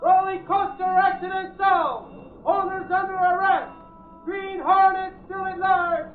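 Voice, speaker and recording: A male performer playing a newsboy hawking papers in drawn-out singsong street cries on an old radio drama. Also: very high-pitched, flat delivery. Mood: urgent hawking. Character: shrill newsboy hawking extra editions. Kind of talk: 1930s-40s radio acting, street-cry. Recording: tinny old radio recording, music behind.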